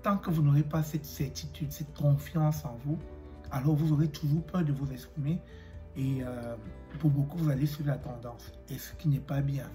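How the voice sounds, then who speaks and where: A man with a cold talking to his viewers about fear of public speaking, pausing often with fillers and clearing his throat. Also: a little high, steady tone, dark tone, soft background music. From Africa. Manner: hesitant, many fillers, direct address. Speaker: YouTuber. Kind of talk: motivational talk to camera.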